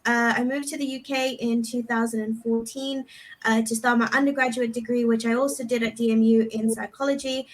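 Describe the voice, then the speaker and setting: Casting note: young woman from Zimbabwe, PhD student, upbeat, fairly high voice. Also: flat delivery, brisk, low-quality recording.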